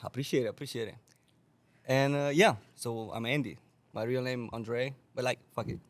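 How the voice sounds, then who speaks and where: Lively, profane man from Romania. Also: medium pitch, moderate pace. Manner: animated, swears a lot, laughs often. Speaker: guest bartender. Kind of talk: casual podcast storytelling.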